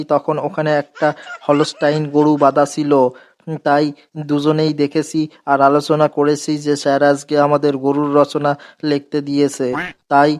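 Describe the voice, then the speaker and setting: Man playing stubborn schoolboy, highish flat voice. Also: natural voice. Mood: earnest explaining. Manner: insistent, deadpan. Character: cheeky, stubborn schoolboy giving absurd answers. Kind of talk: comedy cartoon dialogue.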